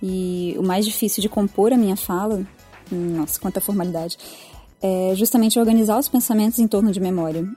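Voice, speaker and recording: A woman's voice: medium pitch, fairly even intonation, brisk, mellow, slightly rough, soft background music. A Brazilian woman giving an informal spoken reflection, hesitant and self-deprecating as she goes. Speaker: podcast commentator.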